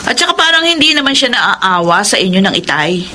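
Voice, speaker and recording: A woman's voice: on the high side, very animated, fairly quick, bright, forceful tone, slight background noise. A Filipino-accented woman playing an indignant, skeptical grown daughter and older sister in a radio drama, reproachful and sarcastic.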